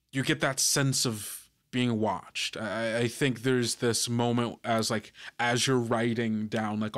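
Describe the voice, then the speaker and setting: A man running a tabletop game as game master, improvising suspenseful narration in a halting way. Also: fairly low pitch, fairly bright tone.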